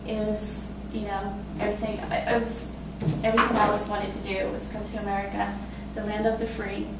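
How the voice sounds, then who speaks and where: A woman in her twenties from Costa Rica, telling her own story in a casual, halting way with many fillers and laughs. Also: mid-range voice, level tone, very echoey, far from the mic, very noisy. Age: 20s. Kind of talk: personal spoken testimony.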